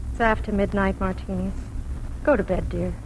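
A woman's voice: mid-range voice, steady tone, moderate pace, lightly acted. A tender, practical farm wife, acted by a woman, with a mid-range voice. She speaks plainly in 1940s radio drama style, on a faintly noisy old broadcast recording. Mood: tender, concerned.

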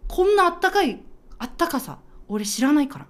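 Excitable lady podcaster, talks fast n emphatic, super lively pitch, casual banter. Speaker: podcast co-host.

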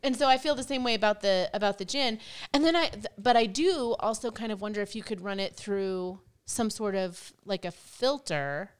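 A woman's voice: medium pitch, normal speed, smooth. A woman co-hosting a casual chat, talking in a rambling way with many fillers.

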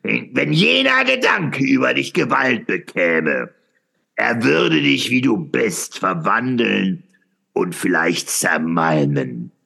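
A man playing a fervent, brooding philosopher in a radio play, declaiming haltingly with dramatic pauses and repetitions. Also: mid-range voice, very animated, vocal fry, sharp tone, slightly rough, full character voice. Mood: grave, urgent. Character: fervent, brooding male philosopher proclaiming eternal return. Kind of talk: theatrical radio play monologue.

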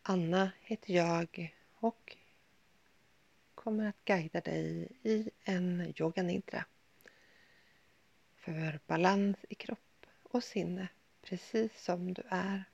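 A woman guiding a yoga nidra body scan in a soothing, gentle, unhurried manner with pauses. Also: lowish voice, gravelly, dry recording, muffled recording. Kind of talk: guided meditation, body scan.

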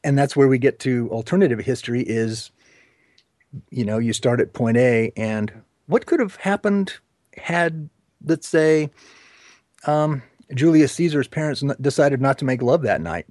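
A man's voice: medium pitch, animated, unhurried, darkish tone, no echo. Informal male interview host, dry close sound.

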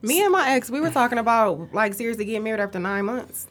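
A woman's voice: fairly high pitch, lively intonation, quick pace. A woman in her thirties speaking in a reflective, agreeable way in a casual podcast chat. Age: 30s.

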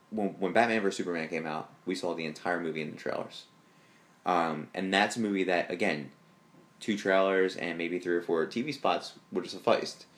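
A man co-hosting a podcast, chatting casually and enthusiastically about films, with a deep voice and lots of fillers. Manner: rambling, enthusiastic fan talk with fillers. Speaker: podcast co-host. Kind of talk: casual chat.

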